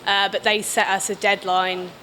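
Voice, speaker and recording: A woman's voice: medium pitch, flat delivery, normal speed, bright, forceful tone. A British woman giving casual interview answers as a film director, in a hesitant, rambling way. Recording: clear background noise.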